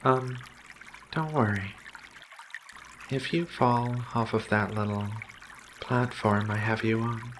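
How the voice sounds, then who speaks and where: A man voicing Ragna's kind of figure, a gentle, caring giant female feline alien researcher, in a soothing, hesitant ASMR roleplay delivery. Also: mid-range voice, fairly even intonation, slow pace, pauses often, noisy background, close to an everyday voice. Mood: reassuring.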